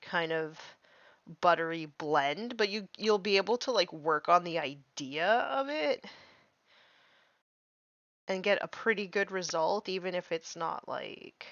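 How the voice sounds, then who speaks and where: A woman's voice: lowish voice, lively intonation, a little slow, fairly bright tone, no room sound. Chatty young woman streamer, dry muffled sound. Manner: chatty, lots of "like", self-deprecating. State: recovering from lost voice. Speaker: streamer painting miniatures. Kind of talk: casual chat while painting.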